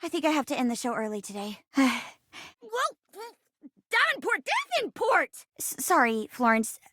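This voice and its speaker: A woman playing a young female video game character, an earnest stage-actress chair come to life, talking in an animated, casual way. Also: high voice, no room sound, studio quality, full character voice. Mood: indignant, flustered. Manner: animated, earnest, casual, halting when uncomfortable.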